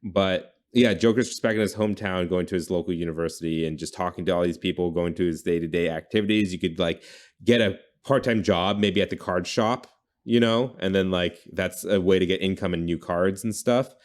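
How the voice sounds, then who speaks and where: A man's voice: low voice, fairly even intonation, brisk. A man hosting a casual brainstorming chat, informal and often correcting himself as he thinks aloud. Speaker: podcast host pitching game ideas.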